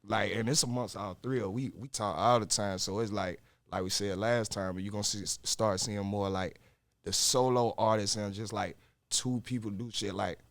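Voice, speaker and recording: A young man, a rapper guest talking in a relaxed, rambling, slangy way. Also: on the low side, moderate pace, no echo. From Augusta, Georgia, US. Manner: relaxed, rambling, slangy with fillers like "like" and "you feel me". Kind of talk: casual interview chat.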